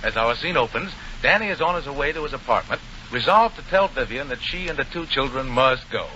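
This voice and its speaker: A man's voice: mid-range voice, animated, brisk, pushed and bright, a little hiss or hum. A man announcing and narrating a scripted 1940s radio comedy. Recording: muffled 1940 radio broadcast. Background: music.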